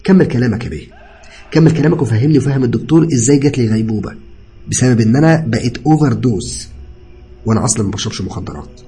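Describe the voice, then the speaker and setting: A man's voice: on the low side, animated, very fast, long pauses, a little mellow, close to an everyday voice. A man voicing a confused, indignant young patient, questioning and insistent, in an Egyptian Arabic audio story. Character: bewildered, indignant young male patient, horror-story hero.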